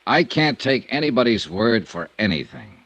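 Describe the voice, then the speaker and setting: American 50s radio detective, lowish voice, lively delivery, clipped and dry, dull old recording. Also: male voice, moderate pace, background noise. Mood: probing, skeptical. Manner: clipped, probing, laconic. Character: dry, persistent male freelance insurance investigator. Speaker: lead actor and narrator. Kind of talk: 1950s radio detective drama acting.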